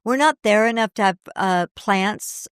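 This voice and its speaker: A woman's voice: mid-range voice, unhurried, smooth, no echo, clean studio sound. A woman co-hosting a casual chat show, chatty and sympathetic, laughing often. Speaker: podcast co-host.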